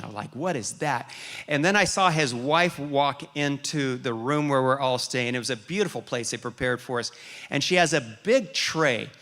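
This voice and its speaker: Bright-voiced man preaching a story sermon. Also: fairly high pitch. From grew up in Liberia, West Africa, as an American. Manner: storytelling, animated, with repeated restarts. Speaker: pastor.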